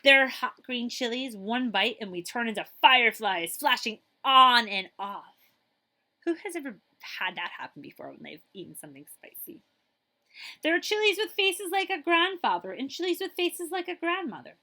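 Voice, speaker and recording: A woman's voice: on the high side, sing-song, normal speed, sharp tone. A woman school librarian reading a children's picture book aloud expressively, with chatty asides to her listeners.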